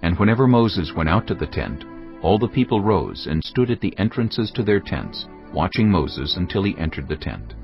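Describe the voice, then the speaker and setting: A man narrating a dramatized audio Bible in a steady storytelling delivery. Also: low voice, normal speed, gravelly. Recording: slightly dull audio with music bed.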